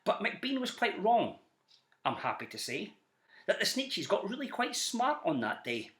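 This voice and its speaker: A Scottish man reading a rhyming children's story aloud in an animated storyteller style. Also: high-pitched, measured pace. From Scotland. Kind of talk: animated storybook narration in rhyme.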